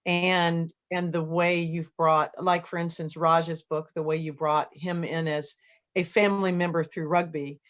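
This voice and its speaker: A woman's voice: low-pitched, flat delivery, unhurried, dull audio. A woman hosting a casual interview, chatting in a friendly, appreciative way. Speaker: interview host.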